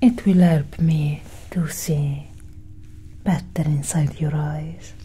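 A woman playing a curious, coolly possessive female alien doctor in a soft, whispered ASMR roleplay, breathy and close to the microphone. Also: low-pitched, steady tone, full character voice. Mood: calm, clinical. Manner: slow, deliberate, hushed.

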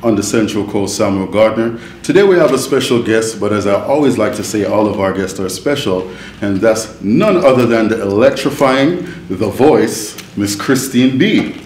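A man's voice: fairly low pitch, expressive, moderate pace, a little mellow, a little hiss or hum. A man from the Bronx hosting an interview show, with upbeat patter and easy laughs. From the Bronx, New York. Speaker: show host. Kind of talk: casual interview chat. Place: hotel room, indoors. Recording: roomy hotel room echo.

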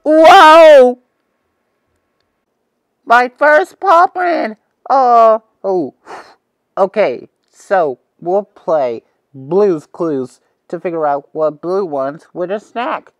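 A man's voice: high-pitched, animated, very slow, no room sound, exaggerated voice. A man playing a cheerful, eager young host of a preschool TV show, talking to the viewer in an exaggerated, wide-eyed way. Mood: excited. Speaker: children's show host character.

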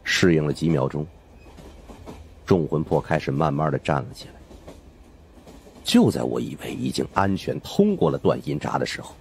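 A man narrating a suspenseful audiobook story in an expressive, dramatic first-person style. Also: extremely low pitch, normal speed, vocal fry, pauses often, slight background noise. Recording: faint music behind the voice.

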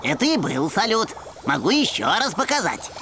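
Pompous, lively boy puppy inventor. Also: medium pitch, normal speed, very noisy. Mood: proud, lecturing. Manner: pompous, lecturing with long technical words. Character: earnest brainy boy puppy, proud young inventor, easily offended. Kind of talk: animated children's cartoon acting. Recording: loud music behind the voice.

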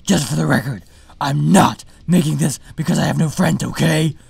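A man playing a brooding, boastful masked superhero in a parody vlog, with a raspy growl and a deadpan, self-important delivery. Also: on the high side, normal speed, no echo, full character voice. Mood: smug, brooding. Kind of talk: parody vlog monologue to camera.

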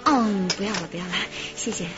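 A woman's voice: mid-range voice, brisk, lightly acted. An actress playing a chatty, flirtatious and defensive dance-hall woman in a radio drama, a coy way of talking. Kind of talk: radio drama dialogue and recounting. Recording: slightly muffled, music underneath.

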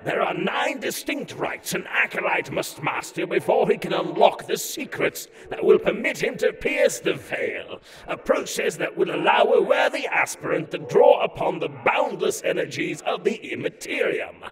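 High-pitched, pedantic daemon sorcerer. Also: male voice, very animated, moderate pace, vocal fry, few pauses, distant mic, music in the background, full character voice. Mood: grandiose. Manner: verbose, declamatory. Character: pedantic, grandiose male sorcerous daemon lecturing fervently. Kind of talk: comic dub voice acting.

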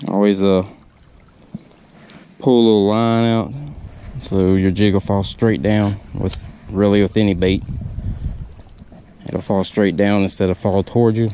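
A man giving casual fishing tips from a lakeshore, talking in halting bursts with lots of "uh" fillers, on a body-mounted camera. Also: on the low side, level tone, mellow, a little hiss or hum. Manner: halting, many "uh" fillers, laid-back. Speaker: fishing vlogger. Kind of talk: casual how-to narration while fishing. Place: outdoors on a lakeshore. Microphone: body-mounted action camera.

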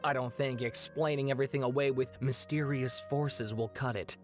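A man's voice: mid-range voice, steady tone, normal speed, natural voice. A man voicing an eager, talkative young student working on an essay. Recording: game audio with faint music.

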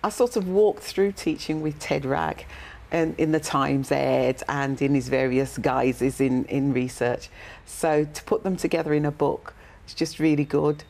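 A woman's voice: low voice, steady tone, measured pace, gravelly, darkish tone. A woman giving an informal, conversational book review as a member of a panel.

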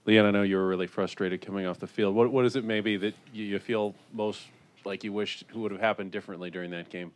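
A man's voice: on the low side, steady tone, fast. A man, a sports reporter, putting direct questions to a coach and players.

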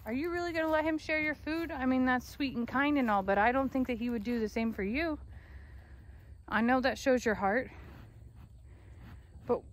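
A woman's voice: high-pitched, normal speed, pauses often. Chatty woman scolding her farm animals. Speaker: ranch owner filming her animals. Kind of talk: casual off-camera narration. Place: outdoor pasture.

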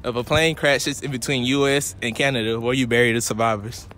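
A man's voice: mid-range voice, steady tone, a bit bright. Young man teasing with trick questions. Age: young adult. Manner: playful, teasing, drawn-out riddle delivery. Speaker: street quiz interviewer. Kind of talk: casual street prank quiz. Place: outdoors on a college campus.

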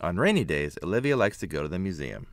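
A man reading a children's picture book aloud with expressive, emphatic phrasing. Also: low-pitched, fast talker, no room sound, studio quality. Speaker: children's book reader, narrator. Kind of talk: read-aloud storybook narration.

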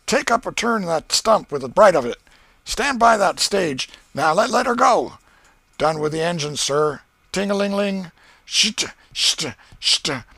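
A man's voice: high voice, lively intonation, unhurried, creaky, bright, forceful tone, no room sound, voice pushed up, heavily acted. A man voicing a boisterous young boy who plays steamboat captain, calling orders and imitating bells and engines. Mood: excited, commanding.

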